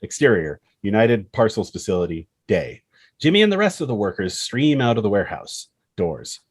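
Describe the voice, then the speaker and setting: A man's voice: fairly low pitch, very animated, moderate pace, slightly rough, no echo. A man reading stage directions as the narrator of a screenplay table read.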